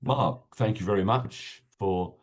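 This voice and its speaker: A man's voice: on the low side, slow pace, slightly rough. A man hosting a business webinar, introducing his guest and asking questions politely, with many filler sounds. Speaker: webinar host and peer group chair.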